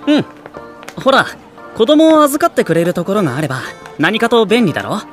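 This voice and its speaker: A woman's voice: mid-range voice, big pitch swings, brisk, over music, close to an everyday voice. A woman playing a polite, easygoing teenage boy hero in an anime audio drama, speaking casually and considerately.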